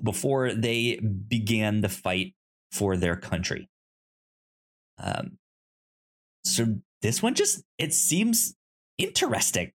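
A podcast co-host chatting casually and pitching movies in a halting way, often stammering and repeating syllables.

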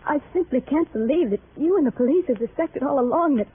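High-voiced wounded radio-drama widow. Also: female voice, lively intonation. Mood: shaken hurt. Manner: halting, dramatic, earnest. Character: wounded, proud young widow woman, emotional and bitter. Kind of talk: old-time radio melodrama acting. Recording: noisy vintage radio, narrow phone-like band.

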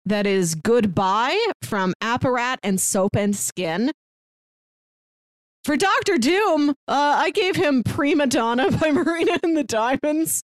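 A woman's voice: high voice, animated, slow pace, dry recording. Chatty American woman, dry close sound. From St. Louis, United States. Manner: informal, enthusiastic, peppered with "like". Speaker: podcast co-host. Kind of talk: casual pop-culture recap chat.

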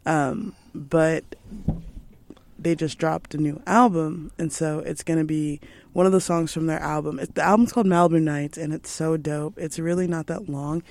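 A woman's voice: low-pitched, fairly even intonation, normal speed, darkish tone. A young woman co-hosting a college radio show, laid-back and throaty from a starting cold, chatting in a studio. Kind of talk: casual on-air chat.

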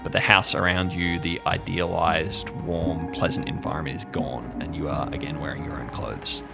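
Low, creaky-voiced man narrating as game master. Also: fairly even intonation, normal speed, over loud music. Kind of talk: improvised tabletop audio drama narration.

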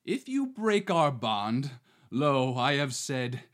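Reader playing a blustering bandit marquis, theatrical verse, unhurried, hugely lively pitch. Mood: grandiose. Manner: bombastic, theatrical verse delivery with wild swings. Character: swaggering male bandit posing as a romantic marquis. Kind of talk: amateur reading of a verse play.

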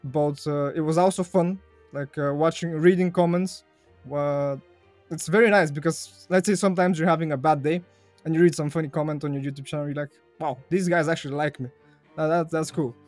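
Young man, English, easygoing podcast guest, highish voice, dry close sound. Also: steady tone, fairly quick, a little mellow, dry recording, faint music behind. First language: Bulgarian. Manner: chatty, laughs easily, repeats phrases. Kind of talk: casual podcast interview.

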